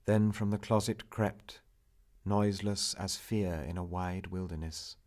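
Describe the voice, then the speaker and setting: A man reciting a romantic narrative poem as its narrator, in a solemn, theatrical, measured manner. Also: low-pitched, steady tone, darkish tone.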